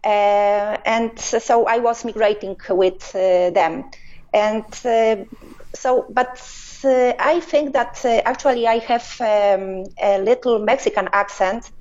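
A middle-aged woman answering interview questions over a phone line, speaking haltingly with many pauses and filler sounds. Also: on the high side, darkish tone. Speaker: interview guest, business owner. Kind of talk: hesitant conversational answers.

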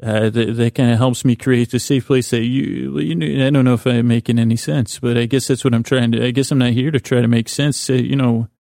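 A man with a deeply creaky, gravelly voice and a mellow, soft-edged tone rambles through a soothing bedtime monologue. His intonation stays flat and lulling as he halts, circles back and interrupts himself. The sound is close and dry, as a podcast host talking gently to listeners. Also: dry recording. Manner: meandering, halting, self-interrupting.

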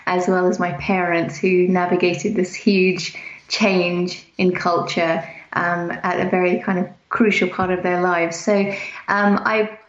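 A woman, a podcast guest talking in a relaxed, talkative way with many ums. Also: fairly low pitch, takes it slowly. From England. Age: 40s. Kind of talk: interview conversation. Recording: muffled roomy remote audio.

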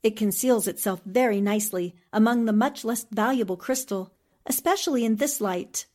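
A woman playing a sharp-witted, self-assured newspaperwoman who explains things precisely, in a 1940s-style radio drama. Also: on the high side, moderate pace, close to an everyday voice. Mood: knowing, assured.

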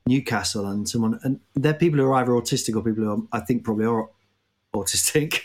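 A man with a Nottingham accent chats loosely on a comedy podcast, sounding worn out. He rambles and mocks himself, his pitch sitting mid-range for a man. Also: fast talker. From Nottingham, England. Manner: rambling, self-mocking, breaks into laughs. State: tired. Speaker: podcast host. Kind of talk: casual comedic chat.

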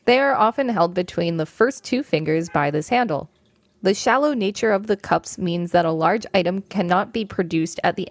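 A woman's voice: fairly low pitch, no room sound. A female podcast co-host reading an encyclopedia article aloud in a casual, informal setting.

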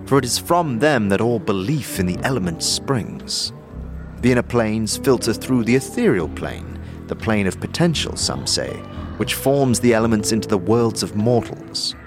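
Man playing a low-voiced, learned fantasy sage. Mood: patient, grave. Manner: formal, deliberate, rhetorical. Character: learned, patient male planar sage, lecturing with gravity. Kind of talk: fantasy audio drama acting. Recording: music behind the voice.

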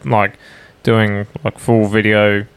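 A man's voice: lowish voice, little pitch movement, takes it slowly, clear, resonant tone, no echo. A young Australian man co-hosting a podcast in casual chat, with a laid-back, sweary, slangy way of talking. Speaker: podcast co-host. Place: smallish room in a home.

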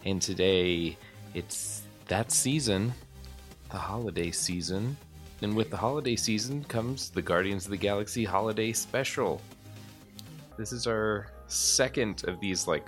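Low-voiced rambling man hosting a podcast. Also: measured pace, a bit bright, faint music behind. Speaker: podcast host. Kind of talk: casual chat.

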